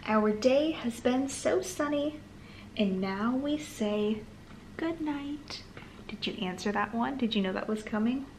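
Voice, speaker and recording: A woman reading aloud to young children in a sing-song manner with gentle asides. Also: on the high side, measured pace, slight background noise, rough recording. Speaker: children's storytime host and reader. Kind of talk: read-aloud storytime for toddlers, addressing young children. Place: small living room, on a sofa, indoors.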